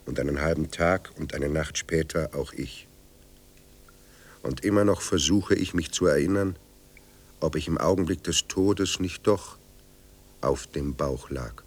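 An actor playing a dead writer in a radio play, wry, restless and formally courteous. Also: male voice, rumbling low, a little slow, pauses often, slightly rough, exaggerated voice. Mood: haunted, reflective. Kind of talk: radio play acting, monologue and dialogue.

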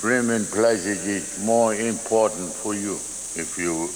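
Very deep slow older man, hissy tape. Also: big-room sound, poor audio. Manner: halting, plain English with short phrases. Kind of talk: slow recorded testimony.

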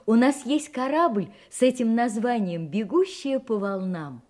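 An actress playing a refined, self-possessed young gentlewoman, candid and faintly mysterious, in an audio drama, speaking elegantly with dramatic pauses. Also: fairly high pitch, expressive. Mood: softly excited. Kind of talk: Russian audio drama acting.

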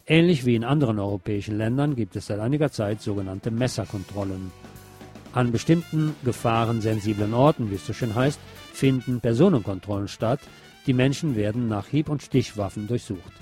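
A man's voice: mid-range voice, fairly quick, dark tone, soft background music. A man presenting local news on the radio in a scripted reading style.

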